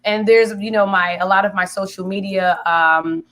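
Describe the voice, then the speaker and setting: A woman in her forties with a smoky, raspy voice, a singer-songwriter chatting casually in an interview and telling animated stories. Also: medium pitch, moderate pace. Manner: animated storyteller, laughs easily, acts out quoted friends.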